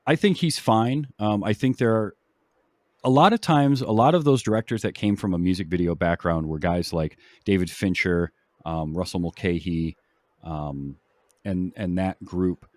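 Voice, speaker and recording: A man hosting a film podcast, casually explaining background in a halting, explanatory way. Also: on the low side, a little mellow, dry recording.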